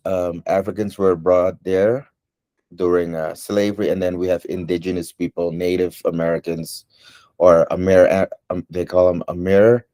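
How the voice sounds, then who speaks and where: A man from Suriname whose first language is Dutch, answering interview questions in an unhurried way, with many ums and pauses. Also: low-pitched, dark tone, no echo.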